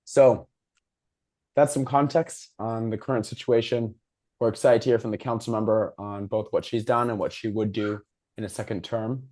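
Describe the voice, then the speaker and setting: A man with a hoarse, failing voice hosting a civic discussion over a video call, presenting prepared material informally. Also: lowish voice, fairly even intonation, moderate pace, a little mellow. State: hoarse, losing his voice. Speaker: moderator and host of a civic discussion.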